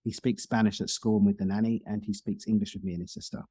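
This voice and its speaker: A man's voice: lowish voice, flat delivery, fast talker, warm tone, husky, dry recording. A man talking casually as a guest in a podcast interview, explaining things in talkative detail. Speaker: podcast guest, speaker and coach.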